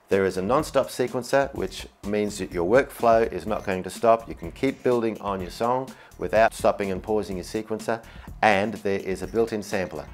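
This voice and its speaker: A man presenting a product demonstration in an upbeat, enthusiastic way. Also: fairly low pitch. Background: music, demonstrated keyboard sounds.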